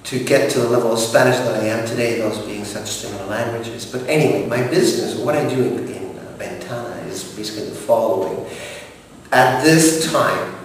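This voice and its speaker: A French-speaking man from Montreal in his forties, answering interview questions in a formal, measured way with frequent hesitations. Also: medium pitch, far from the mic. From Montreal, Canada. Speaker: director of operations. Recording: echoey room, voice from off-mic with faint ambience.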